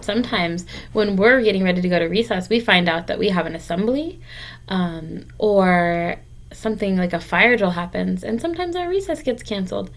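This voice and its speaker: A woman's voice: mid-range voice, expressive, normal speed. A woman teacher reading a picture book aloud to young children, explaining and encouraging them in simple, direct words.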